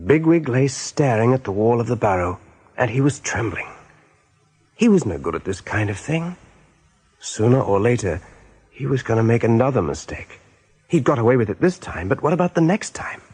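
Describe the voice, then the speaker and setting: An actor as the storyteller of a radio play, narrating dramatically in a husky male voice. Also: medium pitch, very animated, normal speed, darkish tone. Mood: grave storytelling. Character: storyteller narrating an animal adventure.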